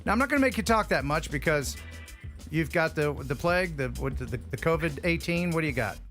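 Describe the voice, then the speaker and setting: A middle-aged man hosting a live stream, talking in a loose, rambling and heartfelt way. His voice sits a little high for a man. Soft music plays in the background. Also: animated, brisk. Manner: rambling and heartfelt, with frequent restarts. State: grieving. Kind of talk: casual chat.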